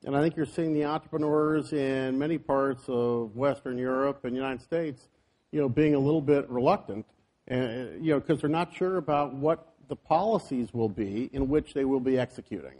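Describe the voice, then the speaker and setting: A man's voice: mid-range voice, normal speed, darkish tone, low-quality recording. A man speaking as a business panelist in a panel discussion, talking conversationally with many filler phrases.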